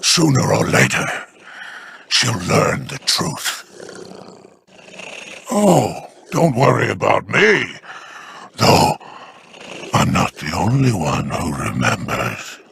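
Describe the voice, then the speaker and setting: Smug gravelly schemer, slow, bright edge, lively pitch, game character. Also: male voice, a little hiss or hum, exaggerated voice. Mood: sly.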